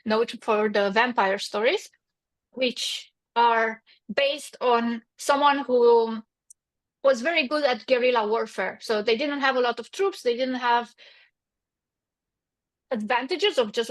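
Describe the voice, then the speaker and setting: A woman from Romania with a Romanian accent, a company founder answering interview questions in a practical way with frequent restarts. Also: a little high.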